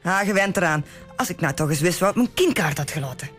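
A woman's voice: lowish voice, expressive, fast, a bit bright, faint music behind. A woman playing a practical, chiding village wife with a Brabant Dutch accent in a comic radio play. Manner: chiding, down-to-earth.

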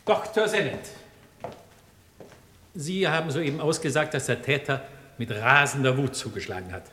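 An actor playing a formal, shrewd defense lawyer making deliberate, rhetorical challenges in a German radio crime drama. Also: male voice, mid-range voice, sharp tone, lightly acted. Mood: measured, probing. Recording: long courtroom reverb.

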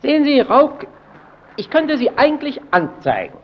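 An actor playing a needling, evasive, resentful lighthouse keeper in an old German radio drama, with deliberate, insinuating theatrical phrasing. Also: male voice, extremely high pitch, wildly swooping pitch, heavily acted. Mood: accusing, threatening. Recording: dull, noisy old radio recording.

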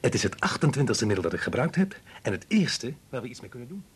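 A man's voice: mid-range voice, big pitch swings, quick pace, slightly rough. An actor playing an eager, self-assured research scientist and family man in a Dutch radio play, speaking insistently and with enthusiasm.

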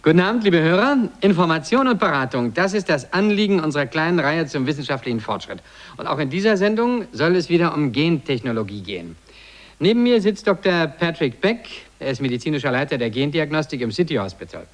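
An actor playing a controlling male radio host with a brisk, polished, dismissive manner in a radio drama. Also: a little high, sing-song. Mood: polished presenting. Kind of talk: radio drama dialogue and presenting.

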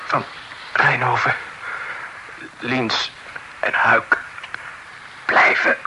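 Dying proud gentleman confessing, weak broken phrases, radio drama, bit of hiss behind. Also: medium pitch, flat delivery. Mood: fading, urgent. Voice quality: breathy, halting, weakened. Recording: radio recording with faint noise.

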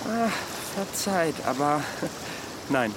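An actor playing a world-weary immortal former soldier in a radio drama, speaking with dry courtesy and archaic wording. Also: male voice, a little high, sing-song, extremely slow, background noise, full character voice. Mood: apologetic. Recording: music and watery ambience behind voice.

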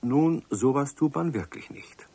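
A man narrating a children's radio play in a calm, expressive storytelling manner. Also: medium pitch, measured pace, vocal fry, husky, dry recording.

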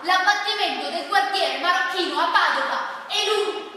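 A woman's voice: extremely high pitch, normal speed, pushed and bright. A woman playing a caustic solitary storyteller on stage, declaiming a monologue in clipped, insistent short phrases. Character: woman living alone, recounting in clipped caustic bursts. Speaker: stage performer. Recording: very echoey hall from far off.

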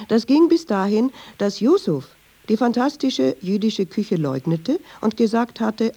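A chatty, sardonic woman telling a story in a radio play. Her voice is husky and creaky, with lively swings in pitch. She digresses freely in colloquial speech and is quick to take offence. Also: heavily acted. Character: talkative, wry woman storyteller, easily offended.

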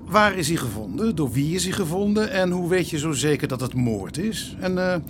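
A man playing a weary, brisk, dryly sardonic police inspector in a radio drama. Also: a little high, lively intonation, music in the background, close to an everyday voice. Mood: businesslike, dry. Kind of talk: Dutch radio drama acting.

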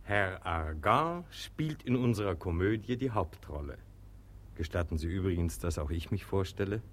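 Radio comedy: level-headed, wryly confiding brother, low-pitched voice. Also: male voice, lively intonation, normal speed, faint music behind. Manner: reasoning, gently mocking, confiding. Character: sensible, amused brother of a hypochondriac. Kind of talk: German radio play comedy acting.

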